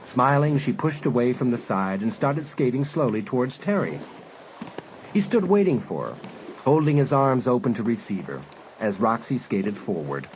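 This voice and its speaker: A man's voice: medium pitch, very animated, normal speed, background noise. A man narrating a suspense story as a dramatic scripted audiobook. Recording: music bed under narration.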